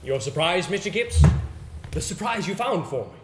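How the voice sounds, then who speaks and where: A man acting in an amateur stage play as an earnest actor, speaking in a theatrical, measured way with dramatic pauses. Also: high voice, animated. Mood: puzzled, intrigued. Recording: echoey stage recording, faint noise.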